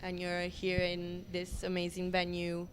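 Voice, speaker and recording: Woman interviewer, slow, halting, faint ambience. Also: fairly low pitch, completely flat delivery, a little hiss or hum. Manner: halting, rephrases questions. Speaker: radio interviewer. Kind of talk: casual interview questions.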